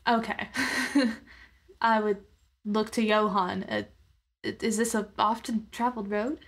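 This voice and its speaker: A woman's voice: medium pitch, little pitch movement, measured pace. A woman chatting casually as a player in an improvised tabletop game, with informal, tentative phrasing. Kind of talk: casual improvised role-play chat.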